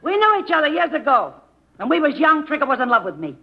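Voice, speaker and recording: An actress playing a gruff, belligerent female bodyguard with brusque, slangy threats in a vintage radio comedy. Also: high voice, sing-song, quick pace, full character voice. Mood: gruff, matter-of-fact. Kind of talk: 1950s radio comedy acting. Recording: muffled vintage radio recording.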